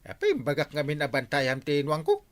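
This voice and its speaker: Man as playful village captain, Ilocano accent, wildly swooping pitch, proud, radio drama comedy. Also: huge pitch swings, brisk. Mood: playful, boastful. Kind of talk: Ilocano audio drama acting.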